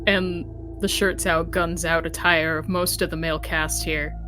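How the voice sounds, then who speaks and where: A woman with a slightly low voice plays a tabletop game. She talks casually in a dry, blunt way, with faint music underneath. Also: level tone, unhurried.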